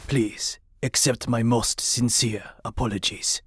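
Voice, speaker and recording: A man voicing a solemn, elderly mutant rat master in a comic-book audio drama, husky, formal and grave. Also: medium pitch, fairly even intonation, unhurried, fairly bright tone, no echo, studio quality. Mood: remorseful.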